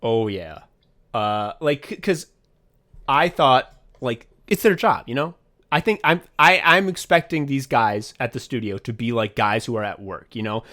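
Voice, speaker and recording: A man co-hosting a podcast tells long, rambling stories in casual chat. He swears freely, restarts his sentences and gets animated as he goes. His voice sits at a middle pitch for a man. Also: unhurried. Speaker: podcast co-host. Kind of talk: casual behind-the-scenes chat.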